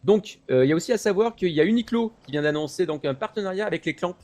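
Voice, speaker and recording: A man with a fairly high, very lively voice presents news in a casual show. He explains things in a loose, digressive way, as a regular commentator would. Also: fast. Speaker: commentator on a web talk show about anime.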